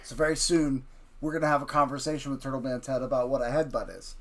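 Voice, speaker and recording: A man hosting an improvised story session with a child, wry and gently teasing as he narrates. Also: mid-range voice, fairly even intonation, fast. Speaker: host, parent telling a story with a child.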